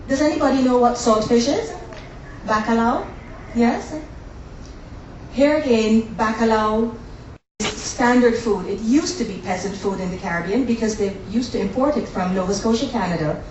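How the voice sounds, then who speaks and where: A woman's voice: fairly high pitch, lively intonation, moderate pace, darkish tone, a little hiss or hum. Chatty woman in an echoey hall. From born in Guyana, raised in Barbados. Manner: chatty, jokey, talks to the audience. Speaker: cooking demonstration host. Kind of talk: live cooking demo to an audience. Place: demo kitchen on a cruise ship. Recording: very echoey room, distant mic, faint ambience.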